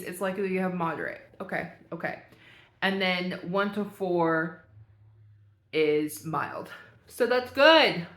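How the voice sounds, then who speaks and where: A woman's voice: mid-range voice, a bit bright, reverberant. A young woman vlogger chatting casually and thinking aloud as she reads through a quiz, laughing at herself now and then. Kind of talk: casual talk while reading a quiz aloud.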